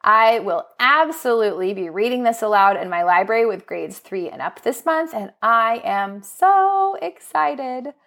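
Expressive woman hosting a book podcast. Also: fairly high pitch, measured pace, hardly any pauses. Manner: enthusiastic, expressive. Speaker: podcast host; school librarian. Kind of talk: book recommendation podcast, prepared narration.

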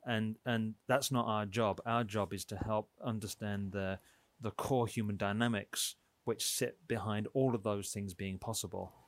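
A man, a guest explaining his team's work in an earnest, measured way. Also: lowish voice, fairly even intonation, no echo. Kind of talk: conversational explanation.

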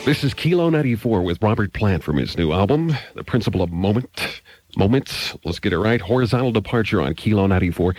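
A low-pitched man hosts 1980s rock radio, announcing and interviewing as a DJ. The sound is close and dry, captured on an old hissy cassette tape. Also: animated, brisk, a little mellow, no echo, faint music behind.

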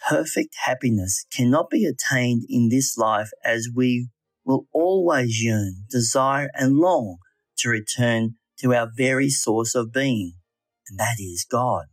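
A man reads a scripted spiritual reflection in an earnest, deliberate manner. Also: mid-range voice, dry recording. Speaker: lay reflection speaker. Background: background music.

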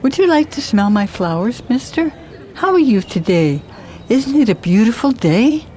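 Woman playing childlike, high-voiced confused older woman. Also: sing-song, normal speed, dark tone, faint music behind. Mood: cheerful, dreamy. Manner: childlike, pleading, sing-song. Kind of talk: audio drama acting.

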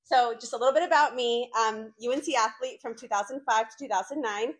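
A woman's voice: fairly high pitch, quick pace. Chatty woman giving a campus faith talk. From North Carolina, United States. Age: late 30s. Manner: chatty and conversational, frequent "like" asides. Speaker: campus ministry speaker. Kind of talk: informal faith talk to college students.

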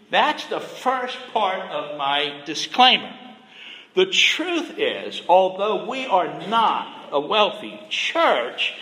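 A middle-aged man preaching a sermon as a pastor, soft-spoken, gentle and measured. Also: high voice, in a large hall, rough recording. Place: church sanctuary.

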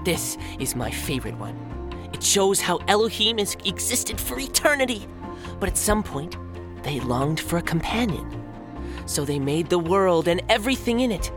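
An actress playing a gentle female guide telling an old story in a children's audio drama. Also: on the low side, very animated, moderate pace, music in the background. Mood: storytelling.

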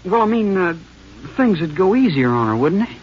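A man's voice: sits high, sing-song, normal speed. An actor playing a nervous young man who hesitates and stammers, in a 1950s radio drama. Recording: dull lo-fi old radio broadcast with faint hiss.

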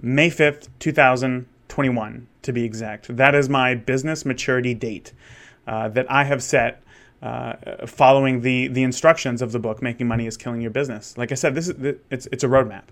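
A man's voice: mid-range voice, steady tone, normal speed, fairly bright tone. A man of thirty, a wedding photographer, talking candidly in a rambling solo monologue with many restarts. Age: 30s. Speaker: wedding photographer and podcast host.

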